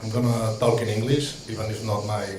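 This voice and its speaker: A man's voice: lowish voice, level tone, a little fast, echoey room, noisy background. A man from Catalonia speaking English with a Catalan-Spanish accent, giving a hesitant, earnest talk as a street social educator from notes. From Catalonia, Spain. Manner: hesitant, many ums and restarts, warm asides.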